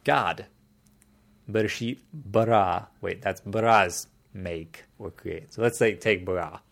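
A man's voice: fairly low pitch, slow pace, vocal fry, a bit bright. A man in casual conversation, explaining a topic at length in a thoughtful way, often restarting his sentences.

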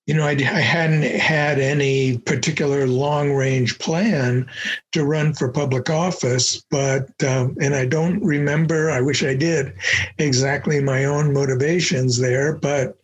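An older man from Los Angeles, a retired professor, recalling his life in a reflective interview with many pauses and fillers. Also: mid-range voice, husky. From Los Angeles, United States. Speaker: guest, professor emeritus. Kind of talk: conversational interview.

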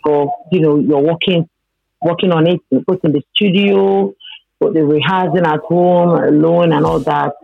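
Female gospel artist calling in, gravelly and hesitant, phone-quality audio with faint music behind. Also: sits low, fairly even intonation, no room sound. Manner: halting, hesitant. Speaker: gospel singer, guest.

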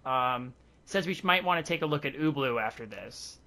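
Podcast host, male, bright and forward tone, loose rambling banter. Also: mid-range voice, expressive, moderate pace. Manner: rambling, laughs often. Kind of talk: casual chat.

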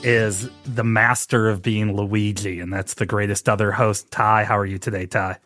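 A man's voice: fairly low pitch, little pitch movement, normal speed, a bit bright, soft background music. A man in his forties hosting a podcast, telling a long story in a chatty, rambling way with restarts. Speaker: podcast host. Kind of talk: casual podcast chat.

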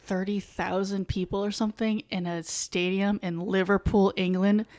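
A woman in her thirties with an American accent talks casually as a podcast co-host. Her pitch sits in the middle for a woman, and she slips into mock quotes and salty language as she goes. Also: normal speed. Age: 30s. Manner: animated storytelling with mock quoted voices and swearing. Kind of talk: casual chat about films and sports.

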